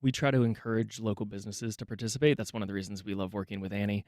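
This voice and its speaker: Low-voiced man, chatty radio guest. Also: fairly even intonation, very fast, a little mellow, no echo, clean studio sound. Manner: conversational, frequent um and restarts. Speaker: guest, neighborhood nonprofit director. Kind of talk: radio interview.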